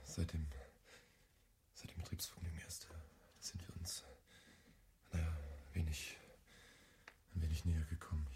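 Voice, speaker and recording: An actor playing a sheepish, evasive adulterer in a radio play, hesitant and appeasing. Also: male voice, rumbling low, monotone, moderate pace, long pauses, warm tone, whispered. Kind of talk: German radio play acting.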